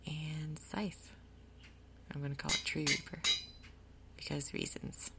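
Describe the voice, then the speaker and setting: Female ASMR gaming host: hushed half-whisper, heavy vocal fry, breathy, relaxed pacing of murmured asides. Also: sits low, slow. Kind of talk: soft-spoken ASMR game commentary.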